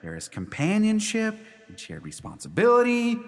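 Man playing an exasperated, wildly animated husband. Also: normal speed, full character voice. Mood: persuasive. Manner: emphatic, imploring, high-strung. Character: exasperated, pleading husband reasoning with ghosts. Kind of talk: radio play acting. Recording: long echoing reverb.

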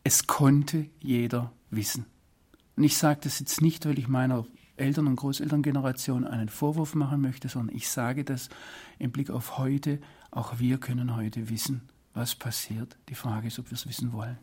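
A man from Swabia, giving earnest, deliberate interview answers. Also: medium pitch, steady tone, a little mellow. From Swabia, Germany. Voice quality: husky. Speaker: interview guest.

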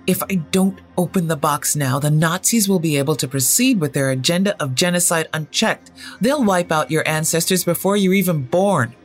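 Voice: mid-range voice, animated, normal speed, hardly any pauses, soft background music, natural voice. A storyteller voicing a guarded, fanatically determined male inventor who explains himself in a formal, grave manner in an audio story.

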